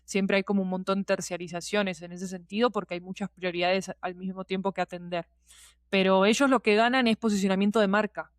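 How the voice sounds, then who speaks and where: A woman talks as a guest in a relaxed interview format. Her pitch is average for a woman and the sound is dry. She explains expansively, in colloquial language with clear emphasis on her points. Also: fairly quick, no echo. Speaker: guest, podcast producer and teacher. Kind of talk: casual explanatory interview talk.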